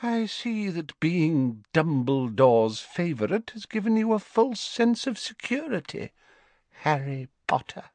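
A man voicing a cold, haughty aristocratic mother who speaks slowly and with menace. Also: fairly high pitch, very animated, a little mellow, dry recording, pitched above the performer's own voice. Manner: slow, icy and menacing.

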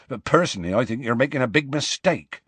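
A man voicing a big, gruff, stubborn gamekeeper with a blunt, colloquial manner in a storybook reading. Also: mid-range voice, expressive, fast, fairly bright tone, dry recording, pitched above the performer's own voice. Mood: scathing.

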